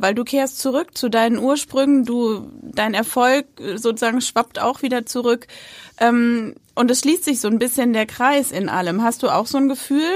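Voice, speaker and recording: A woman's voice: on the high side, no room sound. A woman hosting a podcast who asks thoughtful, searching questions. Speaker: podcast host. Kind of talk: conversational interview.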